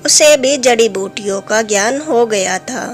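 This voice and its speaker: A woman's voice: a little high, a little slow, faint music behind. A woman narrating a scripted fairy tale for children. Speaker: storyteller.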